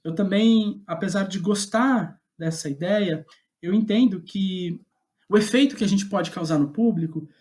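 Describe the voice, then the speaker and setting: A man's voice: high voice, unhurried, darkish tone. A man with a Brazilian accent speaking as a guest playwright in a reflective interview, thinking aloud and often rephrasing himself. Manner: hesitant, thoughtful, often reformulating.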